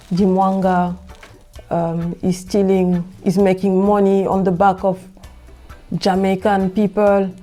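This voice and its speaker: A woman with a French accent speaks slowly as a podcast guest. Her mellow voice often stops and restarts words while she finds her phrasing. Faint music sits behind her. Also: mid-range voice. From France. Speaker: guest, host's wife. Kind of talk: casual chat.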